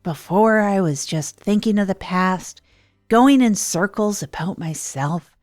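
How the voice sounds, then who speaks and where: A woman's voice: medium pitch, measured pace, a little mellow, lightly acted. A woman playing a self-deprecating failed entrepreneur hosting a comedy radio show, rambling and hesitant as they confess. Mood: rueful, reflective. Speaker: host of an advice radio show. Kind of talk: improvised comedy monologue in an audio drama.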